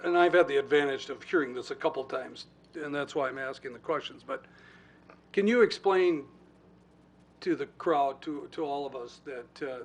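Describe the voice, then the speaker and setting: A man on a city council, asking questions and taking the floor in a measured, polite way. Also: a little high, a little hiss or hum. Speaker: council member chairing questions. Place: council meeting room.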